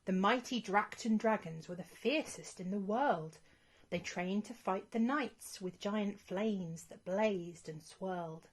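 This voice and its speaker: A woman reading a rhyming children's storybook as scripted narration, in an expressive storytelling manner. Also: medium pitch.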